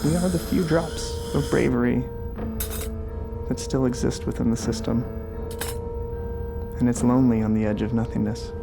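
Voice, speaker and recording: A man's voice: medium pitch, steady tone, vocal fry, lightly acted. Man playing a solemn, lonely space lighthouse keeper. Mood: solemn, musing. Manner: measured, solemn, lyrical monologue. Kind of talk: sci-fi audio drama acting. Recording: music bed under the voice.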